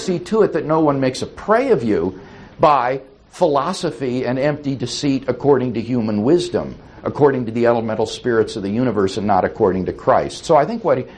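A man's voice: mid-range voice, a little mellow. A man answering audience questions after a talk, thoughtful and explanatory. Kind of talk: lecture Q&A answers.

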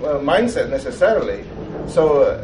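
A man's voice: fairly high pitch, very animated, moderate pace. Romanian man asking from the floor. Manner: hesitant, many fillers. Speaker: audience member asking a question. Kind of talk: halting question from the floor. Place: lecture hall. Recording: echoey hall, voice from far off, background noise.

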